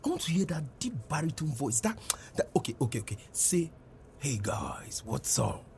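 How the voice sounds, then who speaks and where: A man's voice: a little high, sing-song, moderate pace, gravelly, darkish tone, a little hiss or hum. A man voicing an effusive, pushy male fan with a Nigerian accent, insistently repeating words in a comic story. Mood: eager, insistent. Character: excitable, pushy adult male fan, gushing. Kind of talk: acted dialogue in comic storytelling.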